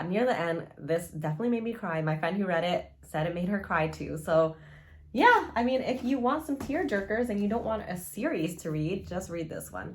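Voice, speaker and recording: A woman chatting casually as a book vlogger, gushing and rambling informally with frequent asides. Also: mid-range voice.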